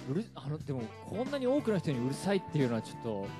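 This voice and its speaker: Man, radio guest, high voice, quick, varied pitch, dry reluctant manner, casual chat. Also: wildly swooping pitch, music in the background.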